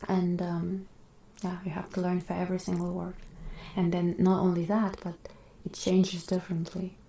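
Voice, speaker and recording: Soft-whispering woman, Russian native. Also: monotone, normal speed, creaky, reverberant, slight background noise. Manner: soft-spoken, slips between whisper and low talk. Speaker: ASMR streamer. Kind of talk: casual whispered chat with viewers.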